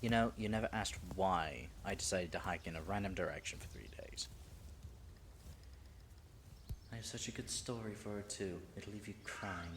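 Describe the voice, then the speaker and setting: A man playing a proud, flustered dragon in human form, secretly smitten with a knight, in a theatrical audio drama full of halting, muttered asides. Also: low voice, roomy sound, a little hiss or hum, lightly acted. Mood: evasive, breezy. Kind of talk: dramatic audio roleplay monologue.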